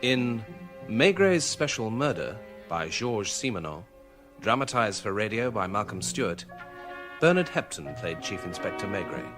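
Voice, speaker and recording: A British man reading scripted radio drama credits as an announcer, in a formal, measured manner. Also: on the low side, big pitch swings. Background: music.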